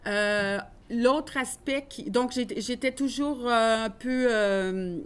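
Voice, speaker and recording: A woman from Canada, a dancer giving a measured, thoughtful lecture with frequent fillers. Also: fairly high pitch, smooth. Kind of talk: lecture talk.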